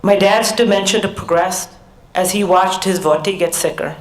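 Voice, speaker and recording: A middle-aged American woman giving an earnest, measured personal talk as a conference speaker, with small restarts. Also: medium pitch, fairly even intonation. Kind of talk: personal talk at a research summit. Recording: echoey hall.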